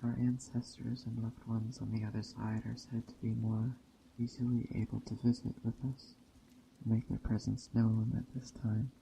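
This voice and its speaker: A man speaking softly and unhurriedly with long pauses, in an ASMR roleplay as a gentle, hospitable witch. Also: on the low side, monotone, vocal fry, slight background noise, rough recording. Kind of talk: soft-spoken ASMR roleplay narration. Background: rain and forest ambience.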